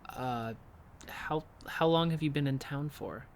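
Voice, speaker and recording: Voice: medium pitch, measured pace, a little hiss or hum, close to an everyday voice. An actor in a fiction podcast playing an awkward, evasive small-town local who is hesitant but eager to help. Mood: nervous, helpful.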